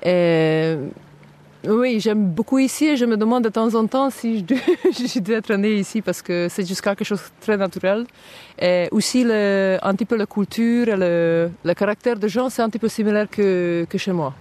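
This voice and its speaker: A woman's voice: medium pitch, normal speed, a little mellow. Woman searching for words. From southern Hungary. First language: Hungarian. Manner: hesitant, with many fillers, searching for words. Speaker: interview guest. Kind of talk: casual interview talk.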